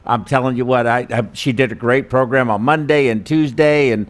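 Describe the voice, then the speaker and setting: Man, medium pitch, webinar instructor, informal, chatty, halting delivery, self-deprecating. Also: steady tone. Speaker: host and perfusionist instructor. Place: studio.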